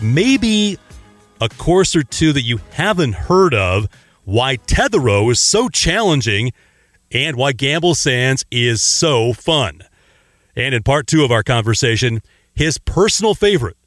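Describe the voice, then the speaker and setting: Guy hosting a podcast, real lively up and down voice, dry close sound. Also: mid-range voice, unhurried, no room sound, faint music behind. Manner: eager, animated questioning. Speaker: podcast host. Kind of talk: casual interview chat.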